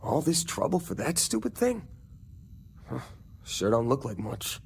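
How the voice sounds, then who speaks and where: A man's voice: medium pitch, big pitch swings, measured pace, creaky, a little hiss or hum. A man playing a cocky, skeptical young miner in a video game, speaking in a folksy, scoffing, colloquial way.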